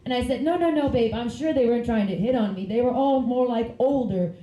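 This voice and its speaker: A woman's voice: a little high, fairly quick, mellow, reverberant, low-quality recording. A woman, doing a rambling, giggly stand-up comedy set on a club stage. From Finland. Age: 30s. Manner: chatty, giggly, rambling asides.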